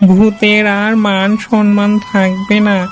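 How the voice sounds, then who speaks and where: Woman voicing a slow, even-toned pleading cartoon ghost. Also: medium pitch, a little hiss or hum, lightly acted. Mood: tearful pleading. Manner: pleading, eager to please. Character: pleading forest ghost, anxious for its honour. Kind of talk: cartoon character dialogue.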